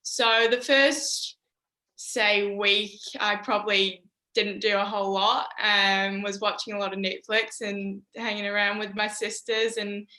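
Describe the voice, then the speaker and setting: A young New woman speaks over a video call from a room that gives her voice a roomy echo. Her tone is bright and edged, but her pitch stays flat and even. She talks in a relaxed, easygoing way, pausing on "um". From New Zealand. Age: young adult. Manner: relaxed, many "um" pauses. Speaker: university golfer, guest. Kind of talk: casual interview answers.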